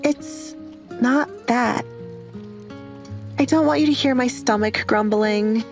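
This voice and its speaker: A woman playing a reserved, polite young bride in an audio romance drama, soft-spoken and weary. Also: a little high, takes it slowly, faint music behind, voice pushed up. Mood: embarrassed.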